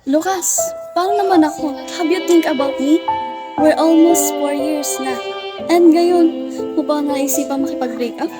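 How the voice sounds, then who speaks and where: A young girlfriend, easily wounded and indignant, acted by a woman in an amateur radio drama. Her voice sits very high, and music plays clearly behind it. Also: unhurried. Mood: hurt, indignant. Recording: background music under dialogue.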